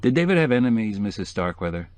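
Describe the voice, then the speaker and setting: A reserved, wry middle-aged journalist, voiced by a male narrator with a low, measured voice. He stays even and dry even when he is pressed. The recording is close and dry. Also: no room sound, natural voice.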